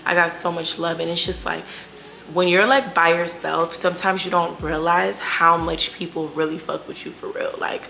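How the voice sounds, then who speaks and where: Chatty slangy young woman with gravelly fry. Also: fairly low pitch, sharp tone, slight background noise. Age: 20s. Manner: slangy, chatty and candid, drawn-out emphasis. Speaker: YouTube vlogger. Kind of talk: casual Q&A vlog chat.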